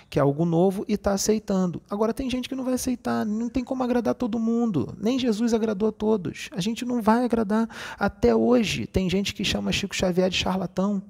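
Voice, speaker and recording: Man, medium giving a casual spiritual talk, fairly high, raspy voice. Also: a little mellow. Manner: explanatory, repeats "entendeu?".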